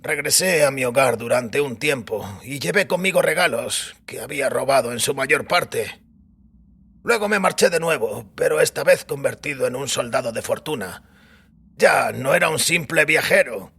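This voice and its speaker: An actor playing a weary older priest who recounts a wild youth in a wry, reflective way, with a raspy voice. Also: male voice, medium pitch, lively intonation, moderate pace, a bit bright, heavily acted. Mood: narrating earnestly. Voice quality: raspy, gravelly. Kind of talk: dramatised audiobook acting. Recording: music bed under the voice.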